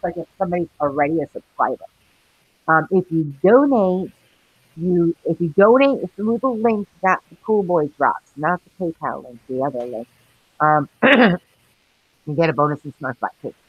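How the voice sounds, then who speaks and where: A woman's voice: on the low side, animated, moderate pace, slightly rough, dry recording, phone-quality sound. A middle-aged woman from New York hosting a livestream, chatting casually, rambling between topics and reading out the chat with frequent laughs. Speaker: livestream host.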